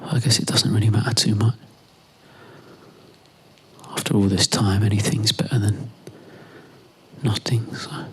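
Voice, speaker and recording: A man performing a conversational monologue in a husky voice, reflective and hesitant, in short halting phrases. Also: on the low side, little pitch movement, a little hiss or hum.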